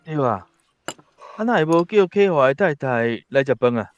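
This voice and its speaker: An actor playing a genial, respected old great-uncle in a radio drama, with a Taiwanese accent and a hearty, easygoing manner. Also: male voice, mid-range voice, animated, moderate pace, dull audio, a little hiss or hum, lightly acted. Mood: hospitable. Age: older.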